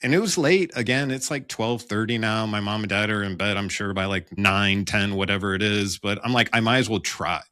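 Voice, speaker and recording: A man's voice: fairly low pitch, steady tone, brisk, hardly any pauses, pushed and bright, dry recording, studio quality. An American man telling a personal story conversationally, in a candid and wry way. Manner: candid, wry, acts out dialogue in his account. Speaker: police officer telling his own story as podcast guest.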